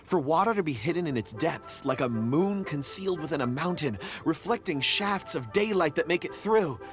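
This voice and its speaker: An actor playing a courteous, eager young gem enthusiast in a video game, speaking politely, earnestly and eloquently. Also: male voice, on the high side, expressive, moderate pace, music in the background, close to an everyday voice. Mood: enthusiastic.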